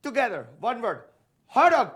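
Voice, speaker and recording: A man's voice: very high voice, very animated, moderate pace, a bit bright, full character voice. A man playing an exuberant, demanding teacher with an Indian accent in a comedic mock lesson. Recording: echoey room.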